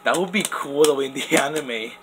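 A man's voice: on the high side, normal speed, noisy background. A young man, possibly a teen, chatting off camera as he runs toy top battles, rambling with lots of "like" and restarts. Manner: rambling, full of "like" and "literally", many restarts. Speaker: toy battle channel host. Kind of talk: casual off-camera commentary over toy battles.

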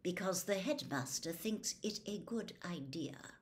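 A woman plays a sneering wizard schoolmaster in a storybook reading. The voice is low and quiet, smooth and sometimes breathy. Each barbed word is placed with slow, cold condescension. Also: sing-song, a little hiss or hum. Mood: smooth, condescending. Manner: slow, smooth, waspish, pausing before barbed words. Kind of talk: audiobook character voice.

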